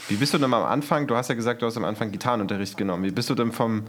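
Easygoing male interviewer, average pitch, keeps questions short. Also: very fast, slight background noise. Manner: brief questions and short agreements. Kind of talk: casual interview.

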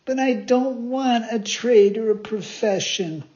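A man's voice: very high voice, takes it slowly, mellow, pitched above the performer's own voice. Man voicing a whiny puppet boy, Pinocchio. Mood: whining. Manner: whiny, pleading, stammering. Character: mischievous wooden puppet boy, whiny and eager. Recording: dull lo-fi recording.

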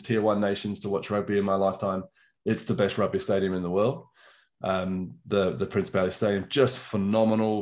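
Low-voiced chatty English-raised man. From England. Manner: chatty, enthusiastic storytelling. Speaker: guest. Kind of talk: casual chat.

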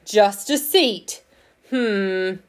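A woman's voice: mid-range voice, drawn-out words, pitched above the performer's own voice. A woman voicing a grumpy talking stone lion in a storybook, growly and pompous. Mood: mock indignant. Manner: pompous, indignant. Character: park keeper posing as grumpy stone lion.

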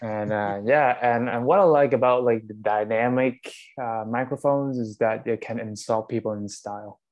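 A man's voice: mid-range voice, moderate pace, darkish tone. Hesitant man on earphone mic. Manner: hesitant, many fillers and "technically". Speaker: guest podcaster. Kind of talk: casual interview chat. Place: remote video call. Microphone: earphones with built-in microphone.

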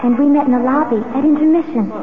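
A high, sweet female voice on tinny vintage radio, with loud music behind it. An actress plays a lovelorn young woman's ghost, gently coaxing and insistent in old radio drama style. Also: quick pace, close to an everyday voice. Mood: sweet, wistful. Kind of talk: 1970s radio drama acting.

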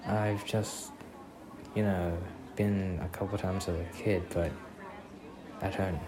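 A man acts out a sweet, shy young student boyfriend in an ASMR roleplay. His voice is low and stays fairly flat, with a slow, hesitant delivery. He comes across as soft-spoken and bashful on a first date. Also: crowd noise, rough recording. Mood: casual, slightly nervous.

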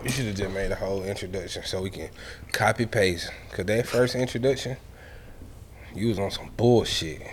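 A man co-hosting a podcast, teasing and telling animated stories. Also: lowish voice, moderate pace, background noise. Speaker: podcast co-host. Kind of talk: casual chat.

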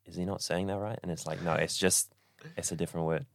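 A young man speaks as a podcast guest, easygoing and unhurried in a casual chat. His voice sits very low, and the recording is dry and close, with no room sound. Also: steady tone, no echo. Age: young adult.

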